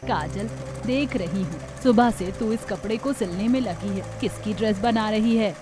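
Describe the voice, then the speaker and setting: A woman voicing a gentle, reasonable middle-aged village mother in a Hindi cartoon story, calm and soothing. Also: medium pitch, normal speed, background noise, close to an everyday voice. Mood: approving.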